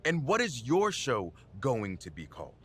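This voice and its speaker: An actor playing an aggrieved wrestler in a video game, confronting someone with emphatic accusations. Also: male voice, mid-range voice, wildly swooping pitch, normal speed, slightly rough. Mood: stern, accusing. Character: indignant male wrestler, aggrieved video game show creator.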